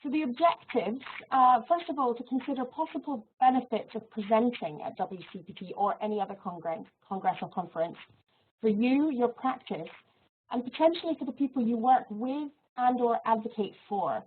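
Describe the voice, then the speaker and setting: A woman lecturer giving an online teaching webinar in a friendly, measured way, with soft laughs and fillers. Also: on the high side, lively intonation, slight background noise. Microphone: video call microphone. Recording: tinny phone-like audio.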